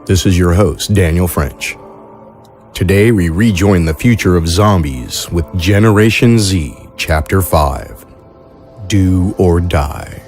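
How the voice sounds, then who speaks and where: A man's voice: low-pitched, slow, darkish tone, soft background music. A man hosting a fiction podcast, giving scripted introductions and announcements. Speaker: host and producer.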